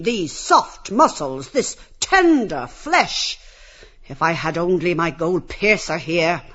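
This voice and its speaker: High-pitched, swooping, domineering bully. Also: male voice, fairly bright tone, lo-fi sound, full character voice. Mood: brash hawking. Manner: taunting, bullying, theatrical barking. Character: domineering, jeering, cruel brothel boss turned auctioneer. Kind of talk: theatrical audiobook character reading.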